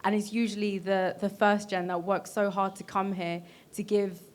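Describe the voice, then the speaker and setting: A woman's voice: medium pitch, flat delivery, moderate pace. A woman with a British accent, speaking as a panelist in an earnest, explanatory and reflective way. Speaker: panelist, Korean cultural ambassador.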